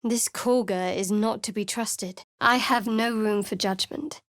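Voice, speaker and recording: An actress voicing a guarded, sharp-tongued young female cleric in a video game, with a dry, clipped delivery. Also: mid-range voice, fairly even intonation, a little slow, no echo, natural voice. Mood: guarded, wry. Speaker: game character.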